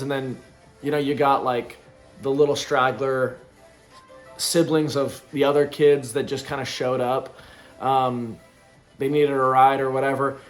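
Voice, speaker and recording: A man of thirty talking loosely to camera in a casual reflective vlog, rambling with plenty of ums and likes. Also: mid-range voice. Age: 30s. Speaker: vlogger. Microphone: camera in front of him.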